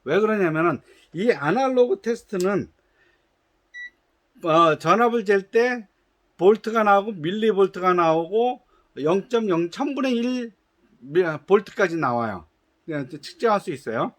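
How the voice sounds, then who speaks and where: A man's voice: high-pitched, expressive, a little slow, fairly bright tone. A man from a shoe company patiently explains how to test his product, with frequent hesitations and restarts. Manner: gives instructions in polite formal speech.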